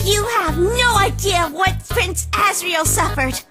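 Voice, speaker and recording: Woman acting a high, fierce spider servant. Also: takes it slowly. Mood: indignant. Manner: indignant, threatening. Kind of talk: fan-dub comic voice acting. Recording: voice over background music.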